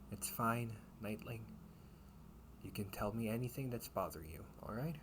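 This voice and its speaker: Over clear background noise comes a man acting as a male knight captain in an audio roleplay. He takes his time, phrasing things in a courtly, old-fashioned way. His manner is that of a kindly mentor who gently teases his young trainees. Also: fairly low pitch. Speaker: amateur audio roleplay performer. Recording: clearly noisy home recording with ambience.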